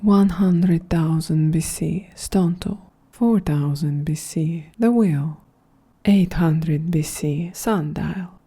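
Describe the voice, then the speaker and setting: A woman voicing a calm, quietly grandiose female android in a breathy, half-whispered, soft-spoken ASMR roleplay. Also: low voice, heavily acted. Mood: measured, lecturing. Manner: slow, measured, solemn delivery.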